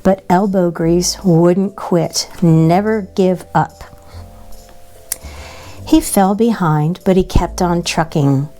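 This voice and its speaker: A woman narrates a picture book for children. Her voice is fairly low and mellow, and she reads expressively at a very slow pace. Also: faint music behind. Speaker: children's storybook reader.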